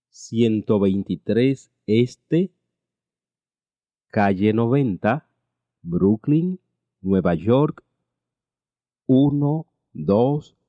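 A man teaching a language lesson, reading each phrase slowly and repeating it twice. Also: lowish voice, mellow, no echo, lo-fi sound. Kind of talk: slow repeated translation drills.

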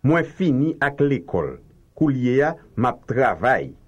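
A man reading slow, carefully enunciated translations in a language drill. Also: medium pitch, very animated, darkish tone, slightly rough, muffled recording. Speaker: language teacher.

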